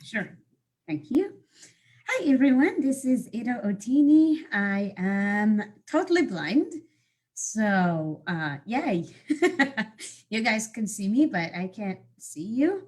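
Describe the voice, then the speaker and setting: A woman, telling her life story as a guest speaker on a video call, pausing often with fillers and restarts. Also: on the high side, sing-song, a little mellow. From Morocco. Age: 30s. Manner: halting, many fillers and restarts. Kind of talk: personal life story talk.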